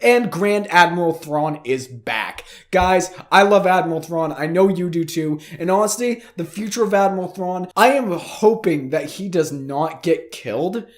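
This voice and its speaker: A man with a hoarse, fading voice after the flu, hosting a weekly fan news show in a casual, eager way and talking straight to his viewers. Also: sits high, expressive, measured pace, few pauses. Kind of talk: casual news commentary to camera.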